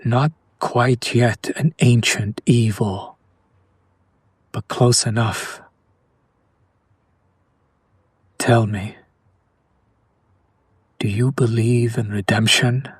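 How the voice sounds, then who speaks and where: Man acting a slow, brooding, romantic vampire nobleman. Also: mid-range voice, fairly even intonation, breathy edge, no room sound, studio quality. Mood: tormented, pleading. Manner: formal, theatrical, slow and hushed, dramatic pauses. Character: tormented noble male vampire, centuries old, romantic and brooding. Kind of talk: intimate audio roleplay monologue addressed to the listener.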